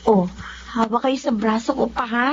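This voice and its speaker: An actress playing a devoted, tender Filipino daughter in a radio drama. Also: female voice, on the high side, steady tone, normal speed, lightly acted. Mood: tender, caring. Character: devoted, tender daughter caring for ailing father. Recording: muffled recording with faint noise.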